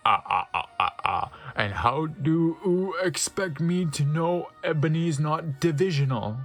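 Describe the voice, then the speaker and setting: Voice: mid-range voice, lively intonation, a little slow, faint music behind. A performer voicing a pompous, scoffing old male wizard headmaster in a dramatic fan fiction reading.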